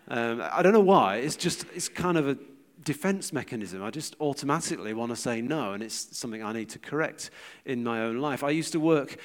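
A middle-aged British man speaks as a church teacher in a mid-range voice. He talks informally and reflectively, often pausing and feeling for his words as he shares personal anecdotes. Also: medium pitch, animated.

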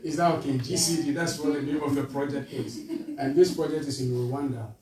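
A man from Ghana with a Ghanaian accent, giving an earnest charity appeal to a church, halting with fillers and restarts. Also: fairly high pitch, fairly even intonation, crowd noise. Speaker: charity representative speaking to a church. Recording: roomy echo, voice from far off.

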